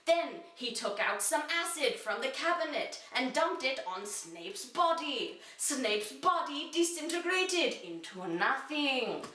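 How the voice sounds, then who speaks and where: Bright, high-voiced woman reading in echoey room. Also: big pitch swings, slow pace, few pauses, far from the mic. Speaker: reader. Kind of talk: dramatic reading of an amateur children's fantasy story. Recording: echoey room, away from the mic.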